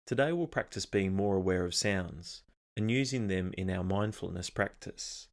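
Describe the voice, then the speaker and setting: A man leading a scripted guided meditation in a calm, measured, instructional manner. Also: deep voice.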